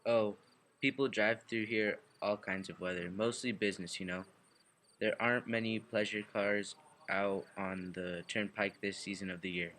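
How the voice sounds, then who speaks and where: An actor playing a chatty, plain-spoken gas station attendant in a radio play. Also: male voice, lowish voice, fairly even intonation, moderate pace, a bit bright, close to an everyday voice. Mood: affable. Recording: faint night cricket ambience behind.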